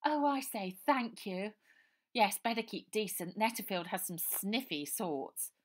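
A woman reading aloud and voicing a posh, plump, theatrical young girl in a high, gushing manner. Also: measured pace, lightly acted. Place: small room indoors.

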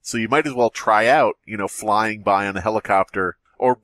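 A man's voice: on the low side, moderate pace, fairly bright tone, no room sound. A man chatting casually and jokingly as a podcast host. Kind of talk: casual comedic discussion. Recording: music behind the voice.

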